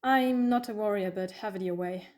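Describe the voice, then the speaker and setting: Clever, coaxing heroine female performer, mid-pitched, conversational and teasing, for an audio drama about an alien traveller. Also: normal speed, darkish tone, natural voice. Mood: wry, cool. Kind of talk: fan audio drama acting.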